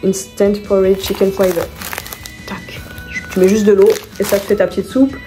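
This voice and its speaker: A woman's voice: medium pitch, little pitch movement, normal speed, dark tone, faint music behind. A woman vlogging casually at home, chatting and rambling through her shopping haul, often stopping to correct herself and laughing at her own slips.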